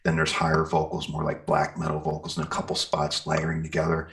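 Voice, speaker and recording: A man's voice: extremely low pitch, monotone, fairly quick, creaky, fairly bright tone. A middle-aged man chatting casually as an interviewed musician, rambling and enthusiastic in an informal way. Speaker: metal singer and guitarist being interviewed.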